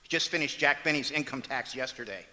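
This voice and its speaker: A man playing a wry, long-suffering husband, the hesitant deadpan straight man in an old-time radio comedy on stage. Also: mid-range voice. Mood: patient, incredulous. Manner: deadpan, halting with hesitant uhs. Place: performing arts center auditorium, live stage. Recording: echoey auditorium stage hall.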